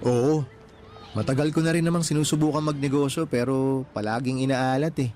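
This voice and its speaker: A man's voice: mid-range voice, fairly even intonation, a little fast, mellow, faint music behind, natural voice. A man acting an earnest, hardworking young husband and father-to-be in a radio romance drama, talking in an easygoing conversational way. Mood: hopeful, determined.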